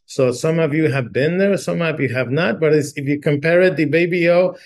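A middle-aged man from Mexico, a chamber executive, talking casually as a guest in an interview, with frequent restarts and fillers. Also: a little high. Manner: halting, many restarts and fillers.

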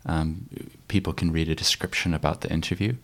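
A man hosting a podcast interview, asking questions in a relaxed way and often murmuring agreement. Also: rumbling low, fairly quick. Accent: mixed, not typical New Zealand. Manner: affirming, frequent murmured agreement. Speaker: podcast host. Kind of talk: casual interview conversation.

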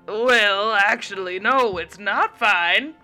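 Young waitress, disapproving and prim, slightly higher and bright; female reader. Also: slow, faint music behind, full character voice. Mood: hesitant, judgmental.

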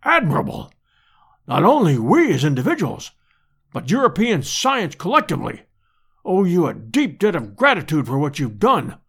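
A man's voice: a little high, huge pitch swings, normal speed, creaky, husky, full character voice. A man voicing a pompous, easily offended professor in an adventure audiobook, with orotund, formal diction. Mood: pompous, grateful. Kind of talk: dramatised audiobook character reading.

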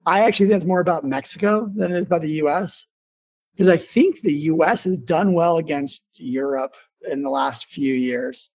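Male podcast host, slightly high voice, informal and rambling, conversational interview delivery. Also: normal speed, creaky, a little mellow. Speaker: podcast host and business owner.

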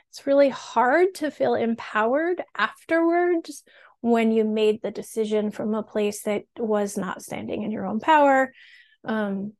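A woman hosting a podcast interview, asking questions in a reflective, measured way. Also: on the high side, a little mellow. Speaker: host.

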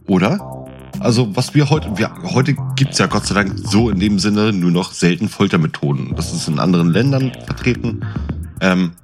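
A man in a casual conversation, explaining things in a colloquial, animated storytelling way as a podcast co-host. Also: low-pitched, normal speed. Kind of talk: casual chat, explaining and quizzing. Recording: music behind the voice. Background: music.

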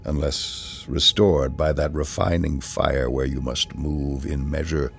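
Guy with a really low gravelly voice reading poetry solemnly, bit of background noise. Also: fairly even intonation. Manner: measured, deliberate, with pauses between phrases. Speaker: poetry reader.

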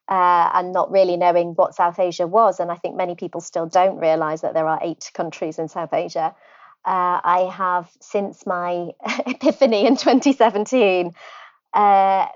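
A middle-aged woman from North Wales answers interview questions in a thoughtful way, pausing and restarting as she goes. Her voice sits at an ordinary pitch. The remote audio sounds a little dull and lo-fi. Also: darkish tone. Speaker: guest, hospital physician.

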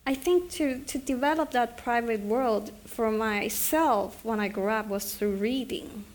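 A woman's voice: on the high side, a little slow. A middle-aged woman author from China, speaking thoughtfully with hesitant restarts at a live book event. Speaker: author at a reading.

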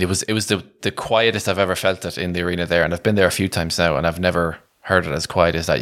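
A man's voice: low voice, a bit bright. A man chatting casually on a sports podcast, repeating phrases for emphasis.

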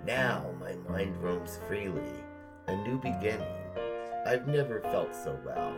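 A man's voice: sits low, big pitch swings, measured pace, loud music behind the voice. A middle-aged man co-hosting a podcast, talking casually and reading aloud for fellow fans. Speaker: podcast co-host. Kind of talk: casual nostalgic chat, dramatic poem reading.

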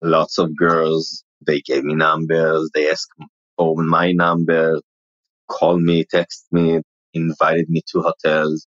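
Deep-voiced israeli guy, mumbly and blunt, very low, dry dull sound. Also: monotone, slow pace, fairly bright tone, no echo. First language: Hebrew. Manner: blunt, loose storytelling with curse words. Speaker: interview guest, celebrity impersonator. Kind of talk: casual interview.

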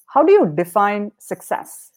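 A woman's voice: mid-range voice, big pitch swings, darkish tone. Upbeat woman hosting a podcast. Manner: upbeat, laughs often, leads with quick questions. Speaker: podcast host. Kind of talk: interview hosting.

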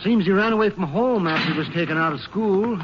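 An actor playing an earnest, worried American hospital doctor in a 1950s radio drama, speaking gravely and haltingly. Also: male voice, sits high, close to an everyday voice. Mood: hesitant. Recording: muffled, noisy old radio recording.

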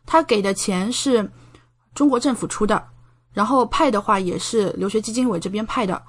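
A woman's voice: medium pitch, moderate pace. A woman in her thirties from China talking casually as a podcast guest, explaining things in a chatty way with frequent tag questions. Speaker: podcast guest, doctor studying abroad. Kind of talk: casual conversational interview, explaining and recounting.

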